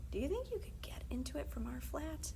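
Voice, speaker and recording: Woman as a lively, curious schoolgirl. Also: high-pitched, fairly quick, creaky, background noise, pitched above the performer's own voice, heavily acted. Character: curious, bold young schoolgirl, deadpan and pleading.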